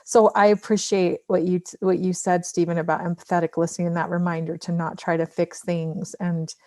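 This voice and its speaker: A woman talks casually as a podcast host, sounding appreciative and encouraging. Her voice sits a little low, and the sound is close and dry. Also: flat delivery, a little fast, warm tone, no room sound. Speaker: podcast host asking questions.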